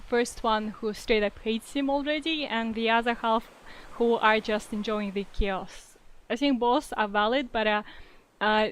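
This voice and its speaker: Young woman w/ a bit of a russian accent, kinda high voice, lots of uh and like, chatting on stream. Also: smooth, low-quality recording. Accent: Russian-influenced. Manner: hesitant, many fillers and restarts, reads comments aloud. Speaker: fanfiction writer answering readers. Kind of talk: casual livestream Q&A.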